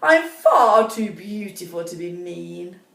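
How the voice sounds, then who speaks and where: Lady doing a stuck up evil queen for a kids story, theatrical, slow, echoey room. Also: lowish voice, sing-song, creaky, a bit bright, pitched below the performer's own voice, heavily acted. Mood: mock innocent. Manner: haughty, theatrical. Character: vain wicked queen, haughty and falsely innocent. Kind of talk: children's storybook reading. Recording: roomy, distant microphone.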